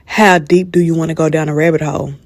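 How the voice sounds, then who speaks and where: A woman reading a scripted promo in a smooth, inviting delivery. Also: low voice, fairly even intonation, quick pace, dry recording. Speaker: promo narrator.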